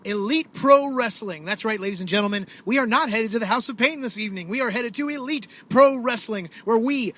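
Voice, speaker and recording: A man's voice: very high voice, a little fast, hardly any pauses, bright, forceful tone. A man co-hosting a podcast while driving, moving from a mock-announcer intro into dry, teasing banter. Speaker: podcast co-host. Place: inside a car.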